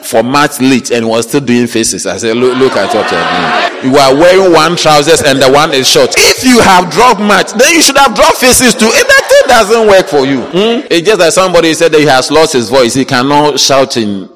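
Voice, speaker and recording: A man preaching an animated sermon, quizzing the congregation and breaking into shouts and laughter. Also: on the high side, normal speed, hardly any pauses, background noise. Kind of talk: lively church sermon. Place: church. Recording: reverberant church hall with congregation.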